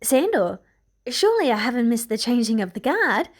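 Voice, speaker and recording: A tired, tense queen, as a woman narrator plays her. She speaks in a fairly high voice, formal and clipped, guarded but loving, in a fantasy story read aloud. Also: very animated, moderate pace. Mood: weary, guarded.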